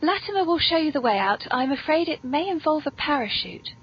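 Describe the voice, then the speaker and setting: A woman's voice: big pitch swings, fairly quick. A British woman hosting a whimsical scripted comedy podcast in a genteel, very polite, playful manner. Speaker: podcast host. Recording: muffled, noisy low-quality podcast audio.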